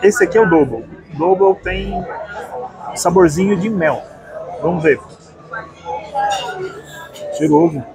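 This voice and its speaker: Brazilian man in his fifties, food vlogger relishing each bite, slightly high voice, clear music behind, busy restaurant. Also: takes it slowly, long pauses, poor audio. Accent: Brazilian Portuguese. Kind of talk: casual food tasting vlog. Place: large busy open-air restaurant.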